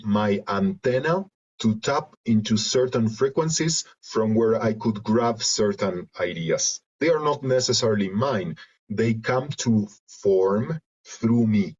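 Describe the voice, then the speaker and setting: A man from Argentina with a Spanish accent, reflecting in an interview in halting, searching phrases over a video call. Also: lowish voice, a little mellow. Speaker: interview guest, creative and therapeutic facilitator. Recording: dull lo-fi video call audio.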